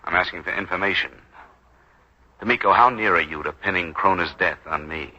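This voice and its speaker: An actor playing an earnest, uneasy hired bodyguard in a 1940s radio drama, talking in a halting, urgent, pleading way. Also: male voice, sits low, sharp tone, heavily acted. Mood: earnest, probing. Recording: dull vintage 1940s radio recording.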